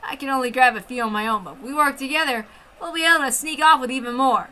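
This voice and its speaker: A woman's voice: high-pitched, quick pace, sharp tone. A woman voicing a brutal, greedy military officer in a menacing, accusatory manner for a story reading. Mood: scheming.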